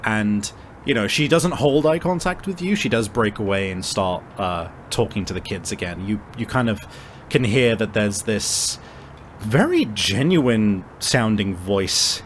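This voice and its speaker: Casting: male game master, lowish pitch, conversational and halting improvised narration, audible background ambience. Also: animated, slight background noise. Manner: halting, conversational, frequent searching pauses.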